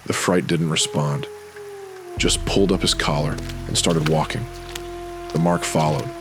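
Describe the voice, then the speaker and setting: An extremely deep male narrator for a noir radio drama. He reads scripted prose with brooding, dramatic weight over faint music. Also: measured pace.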